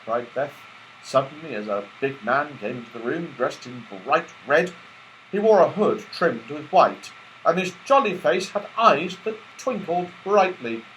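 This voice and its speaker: A man narrating a children's story aloud in an expressive manner. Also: fairly high pitch, a little slow, slight background noise, low-quality recording. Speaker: audiobook reader.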